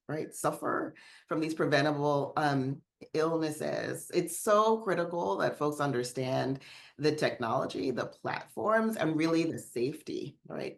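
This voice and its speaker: Low-voiced woman on a webinar panel. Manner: conversational, often adds "right?".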